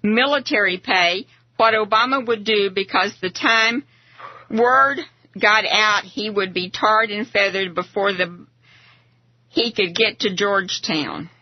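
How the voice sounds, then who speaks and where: A woman's voice: medium pitch, measured pace, no room sound. A woman hosting a live political talk radio show, talking to guests and listeners. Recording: dull lo-fi radio audio.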